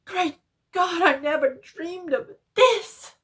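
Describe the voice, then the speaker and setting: A woman's voice: very high-pitched, takes it slowly, fairly bright tone, voice pushed up, exaggerated voice. A woman voicing a terrified man trapped underground, stammering and calling out frantically in a horror story. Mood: horrified despair. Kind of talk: dramatic horror reading.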